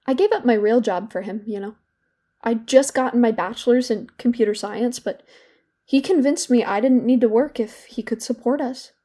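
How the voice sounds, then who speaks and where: A woman playing a regretful, hurt young woman who speaks haltingly in an intimate audio drama confession. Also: a little high, dark tone, lightly acted. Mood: regretful, subdued. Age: young adult. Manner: hesitant, halting, with repeated words and pauses. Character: regretful, wounded young woman confiding in therapy.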